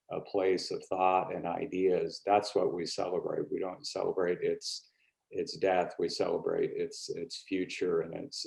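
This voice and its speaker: An American man introducing himself informally at an online meeting, speaking haltingly with pauses mid-phrase. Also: deep voice, gravelly, a little mellow, poor audio. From California, United States. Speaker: board trustee.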